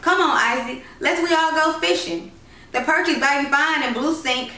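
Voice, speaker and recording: A woman's voice: sits high, moderate pace, pushed and bright, far from the mic, pitched above the performer's own voice. A woman voicing a boastful, teasing young boy with a rural Southern accent in a storybook reading. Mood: eager. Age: child. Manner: boastful, taunting, dialect-heavy. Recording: roomy echo, noisy, off-mic home recording.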